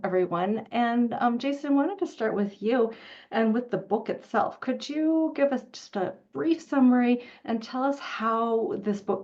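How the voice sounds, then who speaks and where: A woman's voice: fairly high pitch, a little mellow. A woman moderating an author discussion in an informal, enthusiastic, conversational way, on a dull, band-limited recording. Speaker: interviewer, event moderator.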